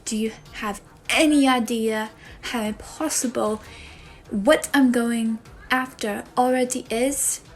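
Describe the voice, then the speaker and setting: Woman acting a hurt, reproachful daughter, high voice. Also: fairly even intonation, slow. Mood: hurt, frustrated. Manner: pleading, reproachful, emphatic. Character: frustrated, hurt grown daughter confronting her mother. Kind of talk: dramatic monologue for a voice acting demo reel.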